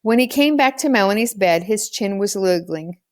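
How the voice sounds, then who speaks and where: A woman narrating a children's novel aloud as an expressive storyteller. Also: mid-range voice, moderate pace, no echo. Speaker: audiobook reader.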